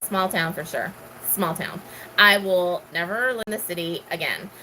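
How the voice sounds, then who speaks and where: A woman's voice: fairly low pitch, moderate pace, pushed and bright, a little hiss or hum, poor audio. A middle-aged woman from Texas, casually chatting and answering questions, rambling with many ums. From Houston, Texas. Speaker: gardening vlogger.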